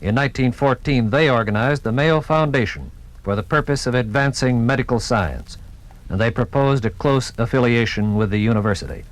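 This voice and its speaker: A man's voice: mid-range voice, a little fast. An American man narrating a scripted 1950s radio documentary with formal, dramatic announcer delivery. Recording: vintage 1950s radio recording.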